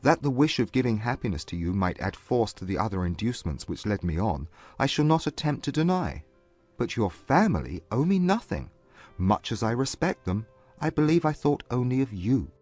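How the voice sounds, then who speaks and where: A man playing a proud, contrite gentleman in love, speaking with formal intensity in a dramatized classic romance audiobook. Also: medium pitch, big pitch swings, dry recording, faint music behind. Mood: earnest. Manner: formal, intense, measured period phrasing. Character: earnest, proud gentleman suitor, contrite and lovestruck.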